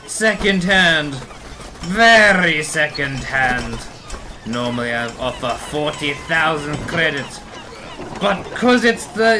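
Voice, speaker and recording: A man's voice: sits high, background noise. A man playing a wary, haggling scrapyard trader in an audio drama, drawing out his words with exclamatory delivery. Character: gruff-dealing male rebel scrapyard trader, wary haggler.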